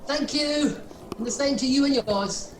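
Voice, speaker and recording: A woman's voice: high-pitched, a little slow, background noise. A woman plays a downtrodden male clerk in a radio drama. The figure is meek and deferential, stumbling timidly over words. The sound is noticeably roomy. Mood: polite cheer.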